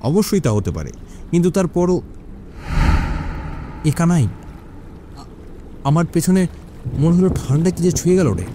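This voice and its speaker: A man's voice: a little high, very animated, a little slow, long pauses, noisy background. A man playing a grumbling, suspicious old village fisherman in a cartoon, folksy and chiding. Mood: uneasy. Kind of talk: Bengali cartoon story dialogue.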